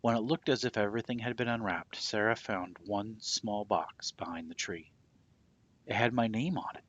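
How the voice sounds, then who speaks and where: A man reading a children's story aloud expressively in a husky, breathy voice, with a hushed, dramatic and deliberate delivery. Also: fairly low pitch, muffled recording. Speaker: storybook reader.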